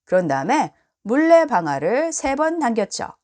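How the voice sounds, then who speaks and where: A woman reading a children's storybook aloud in an expressive, deliberate way. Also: fairly high pitch. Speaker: storybook reader.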